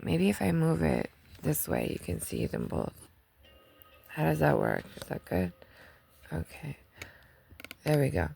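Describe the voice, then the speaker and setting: A woman's voice: low voice, huge pitch swings, a little slow, vocal fry, pauses often, dark tone, faint music behind. A woman past her twenties giving a casual monthly tarot reading, chatty and rambling, laughing often as she tells stories. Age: 30s or older. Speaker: tarot reader.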